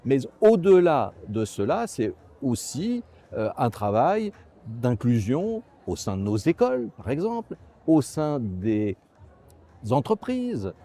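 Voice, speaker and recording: A man's voice: mid-range voice, huge pitch swings, slow pace, warm tone. A man speaking as a local official in an interview, emphatic and repeating key phrases. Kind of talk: interview remarks.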